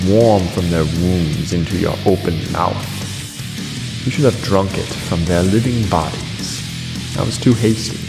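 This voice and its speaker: A man playing a cruel, vengeful king in a classical verse tragedy, gloating deliberately and theatrically in a gravelly voice. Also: deep voice, heavily acted. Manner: deliberate, theatrical, savouring each phrase. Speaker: stage actor. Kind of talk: classical verse tragedy acting, declaimed monologue. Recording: long reverb, guitar music underneath.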